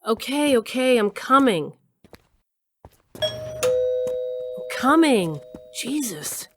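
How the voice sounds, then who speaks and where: A woman's voice: low voice, a little slow, a little hiss or hum. An actress playing a sardonic, weary older woman scientist who narrates her own story in a dry way. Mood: wry, exasperated. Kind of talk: first-person audio drama narration.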